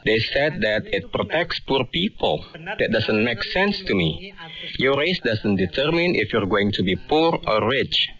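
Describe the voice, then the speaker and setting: A man's voice: lowish voice, a bit bright. A man reading a translated first-person testimony as a voice-over on shortwave radio. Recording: dull band-limited shortwave radio.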